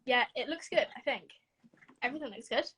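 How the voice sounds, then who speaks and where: A woman's voice: high voice, brisk, pushed and bright, husky. A young woman, hosting a casual live video-call interview, chatty and giggly with lots of ums. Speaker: podcast host.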